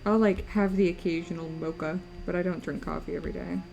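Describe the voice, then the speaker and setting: A woman's voice: lowish voice, fairly even intonation, fast, creaky, a little mellow, rough recording. A young woman streaming while she draws, chatting and teasing her viewers, tired, with her voice breaking. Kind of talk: casual chat while drawing on stream. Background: faint music.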